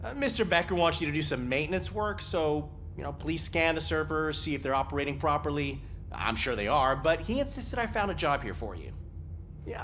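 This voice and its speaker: An actor playing a good-natured desk police officer in a video game, chatty, wry and a little hesitant. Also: male voice, fairly high pitch, a bit bright, slight background noise. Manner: hesitant, wry, eager small talk.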